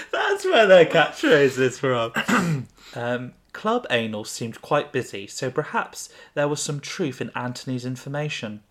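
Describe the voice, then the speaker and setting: A man's voice: medium pitch, very animated, measured pace, fairly bright tone, a little hiss or hum. A man reads his old story aloud on a comedy podcast, his voice rising and falling a lot. He often breaks into laughter at his own writing, then chats with his co-host before reading on.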